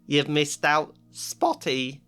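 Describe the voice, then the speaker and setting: A man's voice: mid-range voice, lively intonation, takes it slowly, a bit bright, faint music behind. A man voicing a mischievous male poltergeist who taunts slyly. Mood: sly taunting. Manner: sly, drawling taunts.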